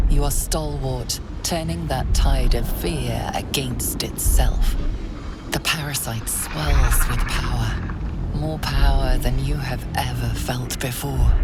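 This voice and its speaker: A solemn fantasy storyteller with a very deep, gravelly register. She narrates the game slowly and dramatically, hushed and ominous, over loud music. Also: full character voice. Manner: deliberate, hushed, dramatic phrasing. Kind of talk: scripted second-person game narration.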